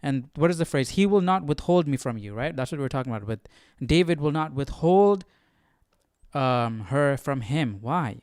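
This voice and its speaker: A man with a smooth, fairly high voice hosts an informal study session in a dry room. He reads aloud, then thinks out loud, stopping and puzzling through what he has read. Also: expressive, moderate pace, no echo. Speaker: host of a live Bible study.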